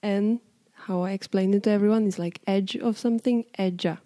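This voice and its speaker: A woman's voice: medium pitch, fairly even intonation, a little fast, mellow. Playful young woman with Polish accent. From Poland. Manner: playful, teasing, laughs often. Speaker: guest interviewer. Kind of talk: casual chat.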